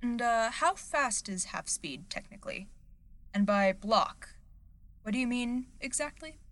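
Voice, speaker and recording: A woman plays an eager young acting student in an audio drama. Her voice is bright and very animated, and she talks in a chatty, slightly awkward way with hesitant questions. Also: fairly high pitch, unhurried.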